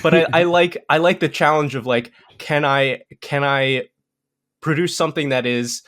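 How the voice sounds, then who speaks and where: A man in his thirties, a podcast guest, talking casually with halting restarts, self-deprecating humor and frequent laughs. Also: a little high, fairly bright tone. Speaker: podcast guest, content creator. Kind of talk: casual chat.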